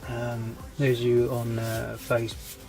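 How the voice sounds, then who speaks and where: A man with a stuffy nose and a voice that is giving out chats casually to his viewers. He hesitates a lot, often restarting his sentences, and stays friendly and easygoing throughout. Clear background music plays under his voice. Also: mid-range voice, monotone, slow pace, poor audio. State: congested with a cold, losing his voice. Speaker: scale model hobby channel host. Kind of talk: casual rambling vlog update.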